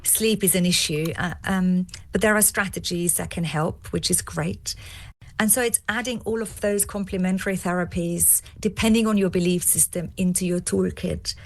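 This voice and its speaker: A woman's voice: on the low side, fairly even intonation, moderate pace. A woman with an Austrian German accent, a guest in an interview, explaining things in a keen, informative way. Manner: enthusiastic, explanatory, fond of "brilliant". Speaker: guest, founder of a support organisation.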